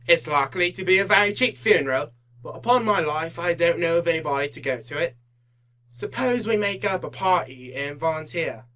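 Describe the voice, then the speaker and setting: An actor playing a callous, jocular gentleman in a radio drama, with a flippant manner. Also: fairly high pitch, lively intonation, fairly quick. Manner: flippant, chuckling. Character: callous, jocular gentleman gossiping about a death. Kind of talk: old-time radio drama acting.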